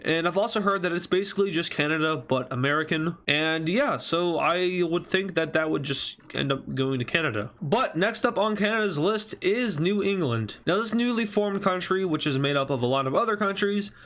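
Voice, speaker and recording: Higher-voiced man, casual stuffy-nosed narration. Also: animated, moderate pace, few pauses, fairly bright tone. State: stuffy from allergies. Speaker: video host and narrator. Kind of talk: casual commentary over a map scenario video.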